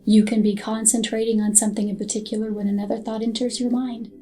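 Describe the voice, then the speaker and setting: A woman narrating a scripted hypnotic guided meditation in a soothing, soft and unhurried manner. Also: on the high side, little pitch movement. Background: background music.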